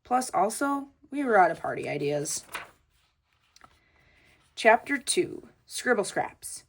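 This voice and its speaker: A woman reading a children's book aloud as storytelling, in an expressive manner with childlike phrasing. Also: medium pitch, slow pace, vocal fry, slight background noise.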